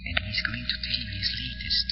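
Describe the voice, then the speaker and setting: A man hosting a mystery radio show with dramatic, portentous 1940s narration and deliberate pauses. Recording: dull old radio recording, loud music.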